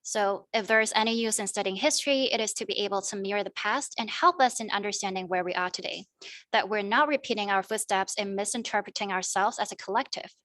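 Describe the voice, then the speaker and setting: A woman's voice: mid-range voice, fast talker, a bit bright, no echo. A young woman giving a prepared academic presentation as a student panelist, in a formal, wordy manner. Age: young adult.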